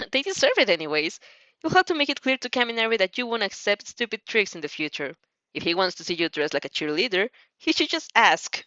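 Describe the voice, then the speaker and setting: Woman, fan fiction narrator, animated, giggly, stumbling delivery, slightly dull dry recording. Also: mid-range voice, a little fast, dry recording. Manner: giggly, often stumbles over words. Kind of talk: expressive second-person story narration.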